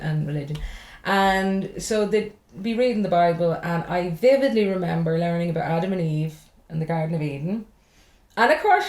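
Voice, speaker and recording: A woman's voice: mid-range voice, a little mellow, reverberant. An Irish woman who is a stand-up comedian, chatting casually on a podcast, swearing freely and rambling from joke to joke. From Ireland.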